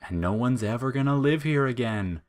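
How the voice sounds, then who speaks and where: A man's voice: mid-range voice, lively intonation, a little fast, pitched above the performer's own voice, lightly acted. A man voicing a spiteful, falsely chirpy talking flower in audio fiction, taunting and needling with whiny outrage and menace. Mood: mocking.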